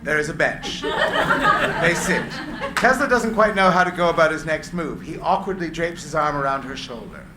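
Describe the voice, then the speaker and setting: A man reading screenplay stage directions aloud as a narrator, in deliberate, theatrical phrases. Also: on the high side, lively intonation. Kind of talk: dramatic live script reading. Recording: echoey room with clear background noise.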